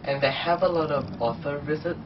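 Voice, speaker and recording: A man's voice: on the high side, a little fast, noisy background. A man with a cold and a blocked, nasal voice, chatting casually through a book haul vlog. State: sick, blocked nose. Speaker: book vlogger. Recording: distant dull camera mic.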